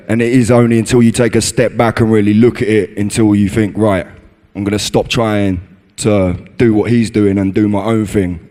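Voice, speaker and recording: A man with a bassy voice, an MC giving a workshop, talking in a relaxed way and tagging lines with "you know". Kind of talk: casual talk to an audience. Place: conference hall. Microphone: handheld stage microphone. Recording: reverberant hall.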